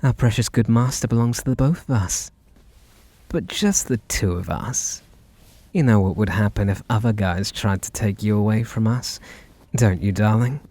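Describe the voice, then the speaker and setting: A man's voice: on the low side, normal speed, mellow, dry recording. A man playing a possessive, devoted butler. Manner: intimate, possessive, teasing. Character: obsessive devoted young male butler. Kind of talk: roleplay audio drama to listener.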